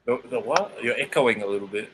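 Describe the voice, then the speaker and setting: Australian male stream host, mid-pitched, clear diction, noisy home setup. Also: expressive, brisk, fairly bright tone. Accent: Sydney Australian, crisp enunciated. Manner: chatty, self-correcting, enunciates clearly. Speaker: livestream host. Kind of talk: casual live chat.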